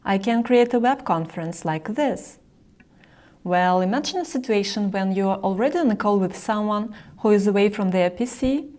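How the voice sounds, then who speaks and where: Woman doing a how-to screen recording voiceover, medium pitch, explains stuff step by step to the viewer. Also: darkish tone. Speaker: software tutorial presenter. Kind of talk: instructional screen-recording narration.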